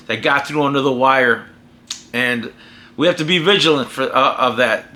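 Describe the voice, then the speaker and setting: A man's voice: fairly high pitch, bright, forceful tone. An American man holding forth in a casual, opinionated vlog monologue, talking emphatically and rambling with frequent restarts. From United States. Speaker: vlogger and podcaster.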